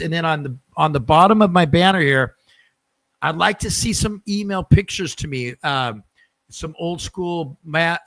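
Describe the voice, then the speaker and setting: A man's voice: a little high, fairly bright tone, rough recording. A man hosting a sports fan podcast, chatting casually and informally with enthusiasm. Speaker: podcast host.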